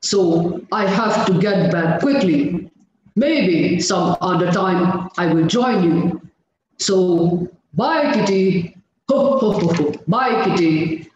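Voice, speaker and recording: A man's voice: high voice, takes it slowly, a little hiss or hum. A man voicing a jolly, kind monkey who laughs with long ho-ho chuckles and speaks in a sing-song way over a video call. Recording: echoey dull video-call audio.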